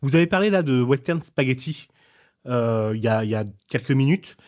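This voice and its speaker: A man's voice: medium pitch, animated, fairly quick, darkish tone. A man with a mid-range voice, hosting a talk show and putting questions to a guest in a loose, hesitant, chatty way. The recording sounds slightly dull and lo-fi. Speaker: show host. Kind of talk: casual interview talk.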